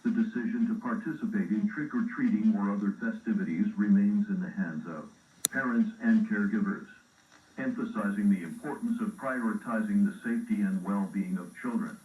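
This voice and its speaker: A man voicing a formal, impersonal emergency broadcast announcer, reading an official alert in a measured, stern way. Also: fairly low pitch, a little mellow, lightly acted. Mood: grave, official. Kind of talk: formal scripted public alert. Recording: roomy, distant, faintly noisy broadcast playback.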